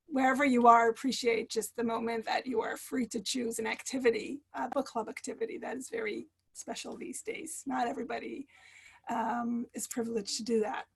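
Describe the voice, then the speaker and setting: A woman author talking to a book club over a video call, hesitant with many fillers, and reading a passage aloud. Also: fairly high pitch. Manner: halting, many fillers and restarts. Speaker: author guest.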